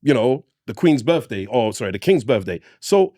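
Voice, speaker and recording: Podcast panelist, mid-pitched man, very lively intonation, dry close sound. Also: normal speed, no echo. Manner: expressive, acts out quoted voices with big pitch swings. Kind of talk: casual panel discussion.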